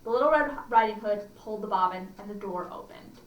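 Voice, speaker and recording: A woman's voice: medium pitch, brisk, lo-fi sound. A woman hosting a children's storytime, reading aloud expressively and adding chatty, questioning asides to her listeners. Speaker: library storytime host. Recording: echoey room, slightly distant mic.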